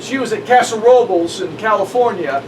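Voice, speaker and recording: A middle-aged man from southeast Missouri, a pastor telling stories in an unhurried, friendly way. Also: high-pitched, expressive. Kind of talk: casual conversation. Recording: distant mic with faint background noise.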